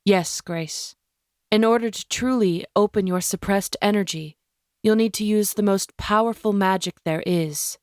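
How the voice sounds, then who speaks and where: A woman playing a formal, protective witch who leads a coven in an audio drama, speaking with measured authority. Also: medium pitch, darkish tone, no room sound, studio quality, lightly acted. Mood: solemn instruction. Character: stern, formal female coven leader, protective and wise.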